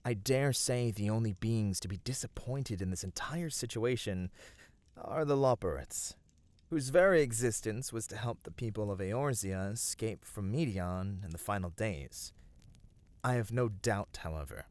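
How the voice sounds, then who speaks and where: Man acting a soothing, low-voiced noble fantasy adventurer. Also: expressive, moderate pace, a little mellow, no room sound, natural voice. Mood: reassuring. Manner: soothing, earnest, formal archaic phrasing. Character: gentle, noble, reassuring male fantasy adventurer comforting a weary friend. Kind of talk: ASMR fantasy roleplay, scripted intimate voice acting.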